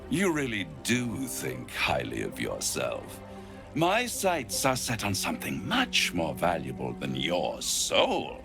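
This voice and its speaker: A silky game devil who makes bargains with grand theatrical relish. The voice is deep and slow, and it swoops dramatically from low to high. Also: male voice, huge pitch swings, vocal fry, music in the background, heavily acted. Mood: gloating, sly. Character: suave, scheming male devil offering bargains. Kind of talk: video game cutscene acting.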